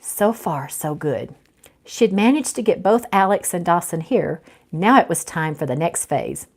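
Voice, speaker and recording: A woman author reading her novel aloud in an animated storytelling style. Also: on the low side, a little slow. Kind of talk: animated audiobook-style narration.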